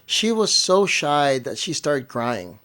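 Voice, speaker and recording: A man's voice: on the high side, very animated, takes it slowly, fairly bright tone. A young man talking as a parent co-host in casual storytelling, often repeating and rephrasing phrases for emphasis. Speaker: parent co-hosting a family show.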